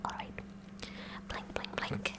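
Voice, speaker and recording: A woman voicing a kooky, curious high school science club president in a slow, repetitive, instructing ASMR roleplay. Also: lightly acted. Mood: focused, instructing.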